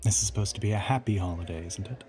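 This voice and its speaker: A man's voice: very fast, warm tone, soft background music, natural voice. A man playing an ancient, suave male vampire in an intimate audio roleplay, teasing and smug, with formal, theatrical phrasing. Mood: polite charm. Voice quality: low, breathy half-whisper.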